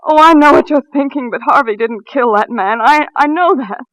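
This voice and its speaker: A woman's voice: sits high, moderate pace, fairly bright tone, dry recording. An American woman acting an anxious, devoted young wife in a dramatic, pleading 1940s radio style. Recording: muffled vintage 1940s radio recording.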